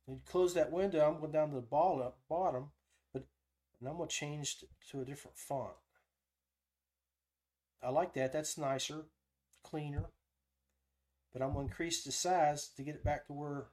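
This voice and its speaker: A man with a moderately high-pitched voice hosts an informal how-to session. He walks through each step as he does it, thinking out loud in a conversational way. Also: steady tone, normal speed, long pauses. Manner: thinks aloud while demonstrating step by step. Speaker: podcast host giving a software tutorial. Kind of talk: casual live screen-share tutorial.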